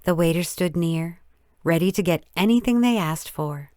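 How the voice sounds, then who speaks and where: A woman reading a story as scripted narration, in a measured, careful storytelling manner. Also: lowish voice, darkish tone, no echo, clean studio sound. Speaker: audiobook narrator.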